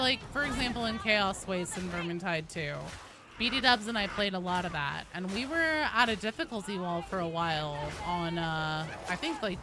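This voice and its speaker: A woman's voice: medium pitch, background noise. A woman chatting casually and swearing freely while she live streams a game. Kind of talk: casual live gaming chat.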